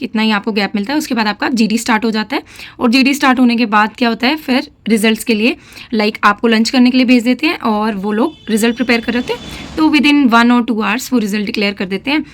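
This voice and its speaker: A young woman with a slightly sore throat giving casual, chatty advice in a vlog and often checking in with her listeners. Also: fairly high pitch. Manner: chatty, mixes English phrases, frequent "theek hai" check-ins. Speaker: defence exam aspirant vlogger.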